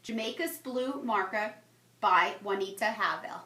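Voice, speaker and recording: A woman's voice: mid-range voice, moderate pace, sharp tone. A woman who works as a teacher, reading a children's picture book aloud expressively. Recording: roomy, echoey home-style recording.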